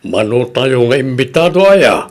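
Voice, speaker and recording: A man's voice: medium pitch, very animated, normal speed, lightly acted. A man playing a suspicious, grumbling older village husband with an Ilocano accent in a Filipino radio comedy drama. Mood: questioning.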